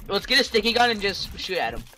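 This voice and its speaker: A man's voice: high-pitched, very animated, quick pace, sharp tone. A young man chatting playfully with friends while gaming, bargaining and talking over the others. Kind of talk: casual gaming chat with friends. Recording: game music behind voice.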